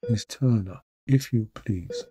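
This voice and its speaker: A man's voice: lowish voice, slow, dark tone, breathy edge, full character voice. A man playing a frail, dying duke in an audio drama, courteous, grave and intent on his quest. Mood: earnest.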